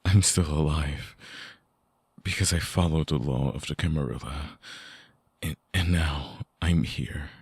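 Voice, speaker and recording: A very deep-voiced male vampire, acted by a man, speaks slowly and tenderly to a lost lover. The voice is husky and breathy, often sinking toward a whisper, in an intimate, close-up ASMR roleplay. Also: dry recording, heavily acted. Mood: remorseful, pleading. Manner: halting, tender, intensely earnest. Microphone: close binaural microphone.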